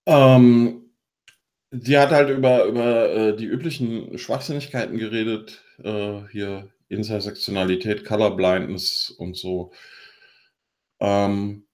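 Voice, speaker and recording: Male podcast co-host, mid pitch, halting reader of news items. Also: a little mellow. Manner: halting, reads from notes with many pauses. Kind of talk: casual news commentary.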